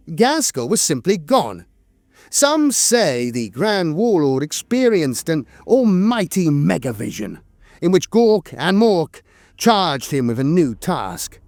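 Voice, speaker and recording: A man's voice: on the high side, darkish tone, dry recording. A man narrating scripted lore in a dramatic, wry storytelling manner.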